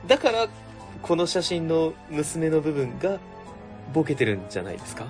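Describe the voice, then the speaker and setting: A man playing an earnest, polite young junior office worker who explains things excitedly in a comic radio drama. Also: on the high side, normal speed, music in the background. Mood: excited theorizing. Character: earnest, eager, polite young male junior civil servant.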